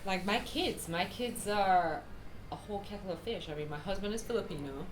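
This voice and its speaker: A woman's voice: medium pitch, fairly quick, reverberant, noisy background. A woman in a casual interview, talking in a conversational, wry way.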